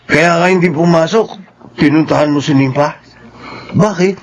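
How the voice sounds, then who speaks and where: A man's voice: a little high, moderate pace, close to an everyday voice. A man playing a nosy, teasing young co-worker in a radio drama. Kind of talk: Filipino radio drama acting.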